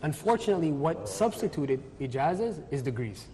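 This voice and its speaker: A man speaking on a religious discussion panel, talking quickly and animatedly and pulling the others in with tag questions. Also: a little high, big pitch swings, in a large hall, crowd noise.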